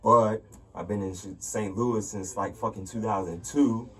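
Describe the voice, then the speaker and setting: A man's voice: fairly low pitch, steady tone, moderate pace, a bit bright. A man born in Turkey and raised in the US, a podcast guest telling stories in casual chat, full of fillers and acted-out quotes. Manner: rambling storytelling, filler-heavy, acts out quotes. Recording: distant mic with faint noise.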